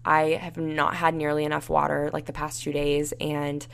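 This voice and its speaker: Young female podcast host, low gravelly voice, tired and raspy, rambling and casual. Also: completely flat delivery, normal speed. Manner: rambling, many fillers like "like" and "you know". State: tired and dehydrated. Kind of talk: solo podcast monologue, casual advice.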